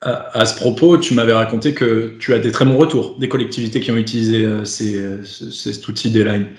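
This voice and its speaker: A man's voice: lowish voice, steady tone, a little fast. Male webinar host, wordy explanatory delivery with hesitations, roomy echoing call sound. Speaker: host and facilitator of an online webinar. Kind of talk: semi-formal webinar moderation. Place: remote video conference.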